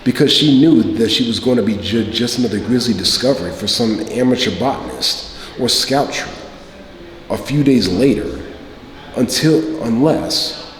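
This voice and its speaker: A man in his late thirties performing a dramatic stage monologue as a cynical, self-justifying man, speaking in a deliberate, emphatic way. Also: on the low side, a little hiss or hum. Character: defensive, cynical man confessing about a one-night pickup. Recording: echoey hall, long reverb, faint room ambience.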